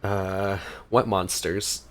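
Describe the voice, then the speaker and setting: A man's voice: low-pitched, flat delivery, extremely slow. A man giving casual reaction commentary as a gaming streamer.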